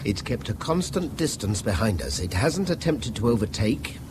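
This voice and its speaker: A man's voice: fairly low pitch, very animated, normal speed. An actor playing an educated middle-class Englishman, wry and harried, articulate, in a radio drama. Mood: tense, weary. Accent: British English. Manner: articulate, theatrical turns of phrase. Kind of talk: naturalistic radio drama acting. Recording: noisy radio drama with background ambience.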